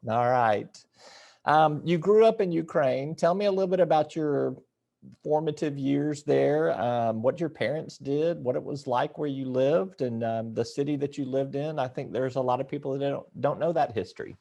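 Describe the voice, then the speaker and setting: A man's voice: medium pitch, moderate pace, gravelly, mellow. An older man interviewing a guest as the host of a broadcast talk program.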